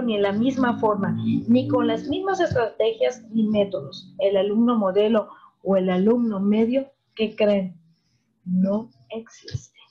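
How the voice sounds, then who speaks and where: A woman's voice: on the high side, mellow, husky. A woman, a psychologist explaining things to parents in a virtual talk and often asking them rhetorical questions. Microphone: video call. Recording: muffled video call audio.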